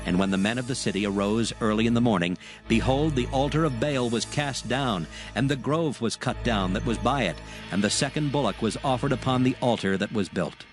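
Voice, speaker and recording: A man narrates a dramatized scripture story with solemn, measured delivery. His voice sits at a medium pitch, with music playing softly behind it.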